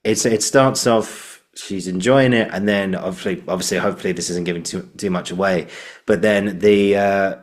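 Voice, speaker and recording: A man hosting a casual interview, feeling his way haltingly through long, roundabout questions. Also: deep voice, fairly even intonation. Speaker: podcast host.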